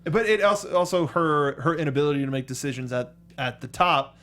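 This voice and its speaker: A man's voice: a little high, fairly even intonation, fairly quick, a bit bright. A man chatting casually and enthusiastically as a geeky co-host of a movie review show. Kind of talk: casual fan discussion.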